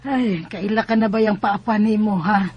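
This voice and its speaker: A woman's voice: medium pitch, moderate pace, breathy edge, highs cut off, lightly acted. A Filipino actress with a Visayan accent playing a homely, chiding but pacifying mother in a radio drama. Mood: placating. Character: homely, pacifying mother who chides her grown son. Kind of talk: Bisaya radio drama acting. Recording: radio drama with faint music behind. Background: faint music.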